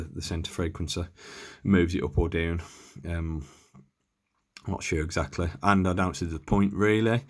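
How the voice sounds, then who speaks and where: Low pitched guy doing a casual demo, kinda unsure, thinking out loud as he goes. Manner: hesitant, tentative, thinking aloud. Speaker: presenter of a radio equipment demonstration. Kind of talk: casual unscripted hands-on demonstration.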